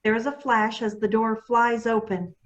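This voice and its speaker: Casting: female narrator, slightly high voice, reading scripted stage directions. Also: little pitch movement, moderate pace, lo-fi sound.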